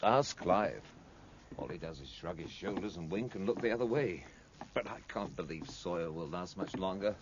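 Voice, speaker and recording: Bluff British officer, swooping delivery. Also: male voice, deep voice, expressive, moderate pace, muffled recording, noisy background. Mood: frank, wry. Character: bluff, hearty, plain-spoken British naval lieutenant. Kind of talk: radio drama acting.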